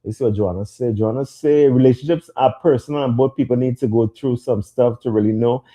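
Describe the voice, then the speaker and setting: A man's voice: mid-range voice, a little fast, warm tone. A man co-hosting a casual podcast, talking emphatically with street slang and bursts of energy. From immigrant to the US. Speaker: podcast co-host.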